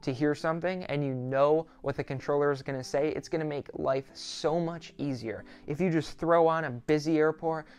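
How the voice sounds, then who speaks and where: A man's voice: on the high side, steady tone, moderate pace, few pauses, a little mellow, clear, resonant tone, faint music behind. A sixteen-year-old young man talking to camera as a host, explaining things in a reassuring, conversational way. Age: 16, teenager. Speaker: student pilot YouTuber, host. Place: small quiet home office, indoors.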